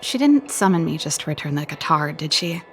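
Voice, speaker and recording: Give this game character a wistful, guarded air: a grown woman inventor with plenty of fry in her voice. She talks casually, trailing off when moved. Music plays clearly underneath. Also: deep voice, animated, brisk. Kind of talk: video game voice acting. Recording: loud music over the voice.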